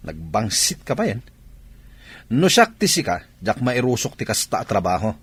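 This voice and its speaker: A man playing a lazy, scheming married younger brother in a Filipino radio comedy-drama, with a husky voice and a Filipino accent. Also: fairly low pitch, sing-song, measured pace, no echo, exaggerated voice. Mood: brash, mocking.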